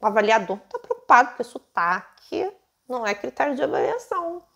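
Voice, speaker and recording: A Brazilian Portuguese woman teaching English test prep at home into her own microphone, lively and full of rhetorical questions. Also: on the high side, a little fast, creaky. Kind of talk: casual teaching monologue to camera.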